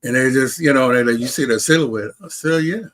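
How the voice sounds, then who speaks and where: A man's voice: medium pitch, a little fast, fairly bright tone, a little hiss or hum. An American man telling personal stories casually as an interview guest, often repeating and restarting his phrases. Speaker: interview guest, heart transplant recipient.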